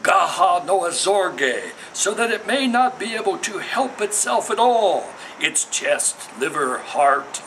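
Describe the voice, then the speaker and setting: A man's voice: high voice, pushed and bright, slight background noise, low-quality recording. A man giving a dramatic, incantatory poetry reading in a declamatory, emphatic, theatrical manner. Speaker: poet reading own work.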